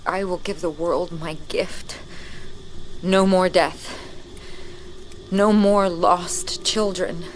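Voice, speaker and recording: Slow-speaking, haunted zealot woman. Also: fairly low pitch, steady tone, slight background noise. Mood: quiet grim resolve. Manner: intense, deliberate, solemn. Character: haunted, zealous young woman on a deadly mission. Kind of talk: audio drama acting, confessional monologue.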